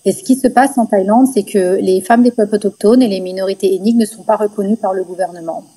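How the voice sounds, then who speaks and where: A woman's voice: mid-range voice, fast talker, warm tone, slightly rough, poor audio. A woman from the Paris suburbs, giving earnest, emphatic interview testimony as an activist. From France, Paris suburbs. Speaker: NGO founder, activist, interview guest.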